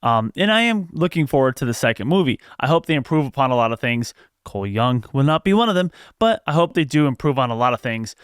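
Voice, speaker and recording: A man's voice: medium pitch, big pitch swings, fast, clear, resonant tone, no room sound, clean studio sound. A man hosting a video, giving casual news commentary with opinions and frequent conversational asides.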